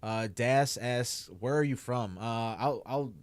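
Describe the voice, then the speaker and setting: A man's voice: mid-range voice, takes it slowly, clear, resonant tone, no echo. Casual Chicago-accented male streamer. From Chicago area. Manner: rambling, lots of "like" and "man", restarts. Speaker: streamer and YouTuber. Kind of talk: casual livestream Q&A while gaming.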